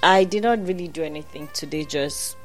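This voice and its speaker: A woman's voice: low-pitched, brisk, faint music behind. A young Nigerian woman with a Nigerian accent, telling rambling stories in a casual chat, with many restarts. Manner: chatty storyteller, many restarts and quoted voices.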